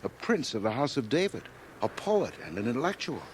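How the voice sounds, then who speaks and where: A man's voice: medium pitch, very animated, fairly quick, slight background noise, natural voice, rough recording. An actor playing a glib, self-satisfied young man with ambition, breezy and pompous in radio drama dialogue. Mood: smug, expansive. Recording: 1980 radio drama, home cassette transfer.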